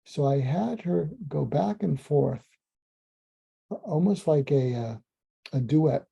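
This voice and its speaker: A man, a psychiatrist, calmly recounting a case in a slow, soothing, almost hypnotic way, with frequent ums and restarts. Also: on the high side, vocal fry, slightly rough. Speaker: psychiatrist telling a clinical story. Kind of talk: calm storytelling monologue. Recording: dull lo-fi sound.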